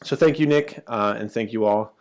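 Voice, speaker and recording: Hesitant American man, lowish voice. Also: normal speed. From United States. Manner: hesitant, many ums and uhs. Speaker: language student giving a testimonial. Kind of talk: casual spoken testimonial.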